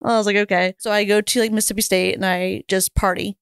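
An American woman with a smooth, clear voice talks casually as a podcast guest. She tells stories in a chatty, informal way full of "like". The sound is dry and close. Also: medium pitch, flat delivery, fast talker, no echo. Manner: informal, chatty, peppered with "like" and mild swearing. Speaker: podcast guest, air traffic controller. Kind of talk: casual anecdotal storytelling. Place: small podcast studio, indoors. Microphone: close boom-arm podcast microphone.

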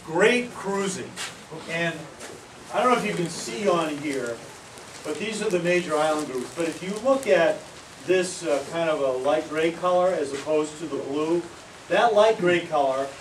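A man from Maine giving a casual talk outdoors, with many fillers and pauses. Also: a little high. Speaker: presenter. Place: outdoor pavilion among palm trees. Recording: roomy, distant, noisy outdoor sound.

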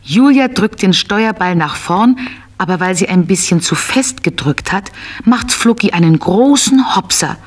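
A woman's voice: mid-range voice, expressive, unhurried. A woman narrating a scripted children's story, telling it as if to small children.

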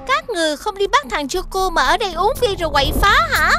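A woman voicing an imperious, shrill insect queen villain in a children's cartoon. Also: expressive, fast talker, music in the background. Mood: enraged. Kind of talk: children's animated series dubbing.

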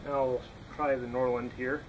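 A young man explaining hatchet woodworking in a relaxed, unhurried way while chopping outdoors in a yard, recorded on the camera. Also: mid-range voice, very noisy. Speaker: woodworking instructor. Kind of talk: casual how-to demonstration.

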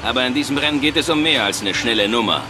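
An actor playing a wry, cocky race driver and mechanic in a German-dubbed video game, irreverent in manner. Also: male voice, mid-range voice, fast, music in the background, natural voice. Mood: joking.

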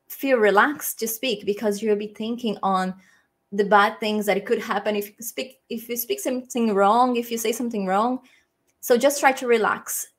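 Brazilian-accented woman streaming English tips. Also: fairly high pitch. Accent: Brazilian Portuguese. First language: Portuguese. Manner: hesitant, many ums and restarts, friendly. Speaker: English teacher. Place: small room at home, indoors. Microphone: webcam framing, desk mic.

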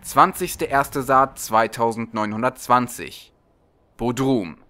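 A man's voice: medium pitch, takes it slowly, bright, forceful tone. A man narrating a storybook from a script as an audiobook reader.

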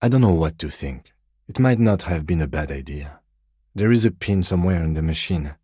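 An actor playing a composed, weary police detective in a video game, with dry, deadpan, measured delivery. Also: male voice, low voice, slightly rough, no echo. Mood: weary, matter-of-fact.

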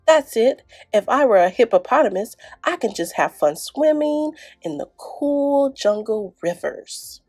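A man's voice: very high voice, husky, dry recording, clean studio sound, full character voice. A woman reading a picture book as a bored, imaginative little boy, musing and exclaiming dramatically. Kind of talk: picture book read-aloud.

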